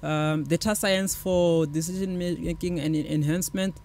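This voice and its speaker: A man's voice: a little high, fairly even intonation, normal speed, darkish tone, slight background noise. A man co-hosting a tech show, talking casually with many restarts and fillers. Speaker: co-host.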